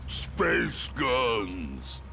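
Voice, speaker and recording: An actor voicing a huge, simple-minded, territorial mutant turtle in a video game, speaking in short broken phrases. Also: male voice, mid-range voice, huge pitch swings, drawn-out words, shouting, background noise, heavily acted. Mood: gruff, simple. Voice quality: gravelly.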